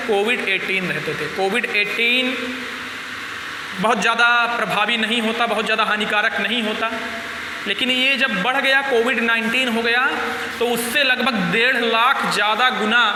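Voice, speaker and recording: Hoarse male teacher, high voice, echoey hall. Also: noisy background. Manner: tongue stumbles now and then; addresses students as friends. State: hoarse, sore throat. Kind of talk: biology lecture.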